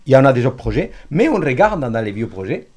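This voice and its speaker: A man's voice: mid-range voice, very animated, quick pace, darkish tone, husky. A man talking enthusiastically and at length as an interview guest, with rambling, slightly unidiomatic phrasing. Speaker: guest, animation artistic director. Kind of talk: enthusiastic conversational interview answers.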